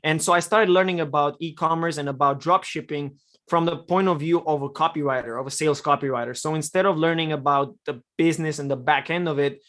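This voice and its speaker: A young man from Romania, a podcast guest, telling his story in a relaxed way with many fillers. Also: a little high, brisk. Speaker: guest, e-commerce copywriter. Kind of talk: podcast interview answers.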